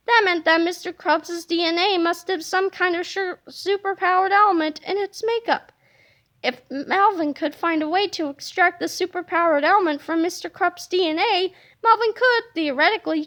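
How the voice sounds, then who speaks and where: A woman reading a children's book aloud as an expressive storyteller. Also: extremely high pitch.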